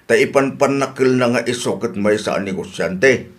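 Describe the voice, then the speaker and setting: A man's voice: mid-range voice, moderate pace, lightly acted. A man with an Ilocano accent playing an earnest, aggrieved older businessman in a radio drama, always questioning. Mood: insistent.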